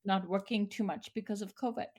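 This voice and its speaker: A woman's voice: medium pitch, steady tone, a little fast, darkish tone. Middle-aged woman with earnest answers. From Austria. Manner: earnest, with fillers and restarts. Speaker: photographer, guest. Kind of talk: interview answers.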